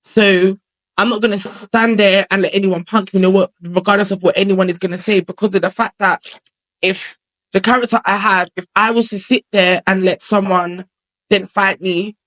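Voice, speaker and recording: A man's voice: high voice, steady tone, moderate pace, a bit bright. A young man with a feminine voice, talking at length as an interview guest in a casual, rambling way. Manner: chatty, rambling, explains at length with filler phrases.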